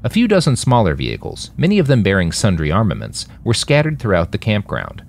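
A man's voice: on the low side, wildly swooping pitch, brisk, gravelly, slight background noise. A man narrating scripted fiction as an audiobook-style story. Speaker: author and narrator.

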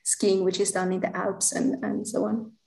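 A woman in her thirties with a Finnish accent, answering as a podcast guest in a measured, explanatory way. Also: mid-range voice, flat delivery, gravelly, a little mellow. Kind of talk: interview answers. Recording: echoey room with faint music.

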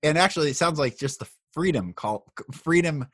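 A man hosting a spiritual podcast, speaking earnestly and slowly as he introduces and questions his guest. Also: a little high, lively intonation, a bit bright, husky, no room sound, clean studio sound. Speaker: podcast host.

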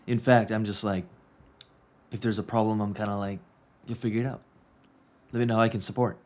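A man's voice: on the low side, fairly even intonation, brisk, a little mellow. A man in his thirties hosting a coaching podcast, talking earnestly and repeating key phrases for emphasis. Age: 30s. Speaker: podcast host and business coach. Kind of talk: casual reflective monologue.

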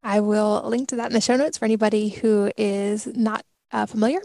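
A woman's voice: mid-range voice, fairly even intonation, brisk, gravelly, darkish tone, no room sound, clean studio sound. A woman hosting a podcast who asks curious questions and affirms her guest's answers. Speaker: podcast host. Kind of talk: casual interview questions.

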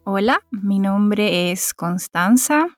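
A woman with a Chilean accent from Santiago, answering interview questions in an unhurried way with frequent filler words. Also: medium pitch, animated, music in the background. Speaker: interview guest. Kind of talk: casual conversational answers.

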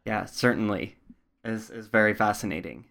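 A man interviewing someone in a thoughtful, measured and tentative conversational way. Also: fairly low pitch, creaky, fairly bright tone.

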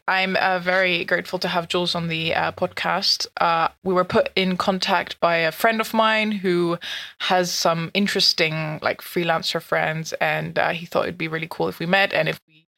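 A woman's voice: lowish voice, flat delivery, moderate pace, vocal fry, pushed and bright. A woman hosting a podcast interview in a casual way, with frequent "uh"s and restarts. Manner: frequent filler words and restarts. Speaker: podcast host. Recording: dull lo-fi recording.

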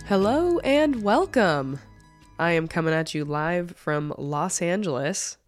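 A woman's voice: on the low side, lively intonation, slow, soft background music. A woman with a cold and a stuffy nose, hosting a true crime podcast and telling the story in a casual, chatty way. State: congested from a cold. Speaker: podcast host.

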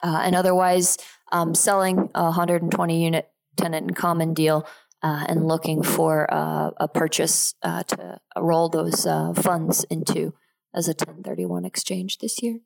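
Podcast guest: woman, thirties, from Switzerland, slightly low voice, conversational delivery. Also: little pitch movement, unhurried, gravelly, a little mellow, poor audio. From Geneva, Switzerland. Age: 30s. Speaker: podcast guest, real estate investor. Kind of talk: casual interview conversation.

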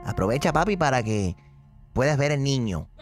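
A man's voice: mid-range voice, big pitch swings, moderate pace, faint music behind. A man hosting a comic gossip radio show, playful and campy, dropping English phrases into his talk. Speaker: radio show host doing gossip news.

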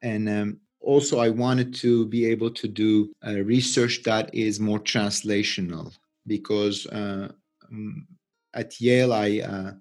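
A middle-aged man with a Turkish accent, giving unscripted interview answers as a scientist, halting and often repeating words. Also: on the low side, flat delivery, a little mellow, faint music behind. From Turkey. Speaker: interview guest, research scientist and physician.

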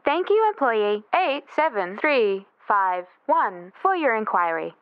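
A woman's voice: a little high, sing-song, takes it slowly, heavily acted. A woman voicing a polite automated employee hotline in an audio drama, reading formulaic scripted phone prompts. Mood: formal, polite. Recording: dull, noisy phone-line sound with clicks.

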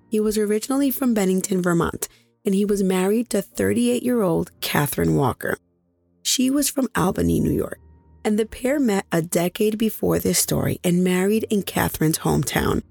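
A woman hosting a true crime podcast, hoarse after nearly losing her voice, chatting directly with her listeners. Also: medium pitch, a little mellow, no room sound. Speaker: podcast host.

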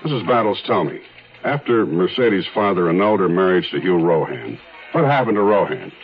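An actor playing a methodical, weary police detective in a 1970s radio drama, speaking in a measured way. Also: male voice, sits low, animated. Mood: probing. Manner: measured, clipped questioning. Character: methodical, weary male police detective narrating his case. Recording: dull 1970s radio recording, music behind.

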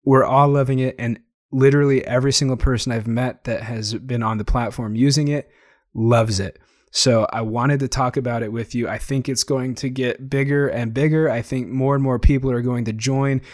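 A young man hosting his own podcast, talking casually and eagerly with a slightly hoarse, tired voice. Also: mid-range voice, steady tone, normal speed, no room sound, clean studio sound. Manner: chatty, enthusiastic, frequent fillers. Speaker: podcast host. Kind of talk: casual solo podcast monologue.

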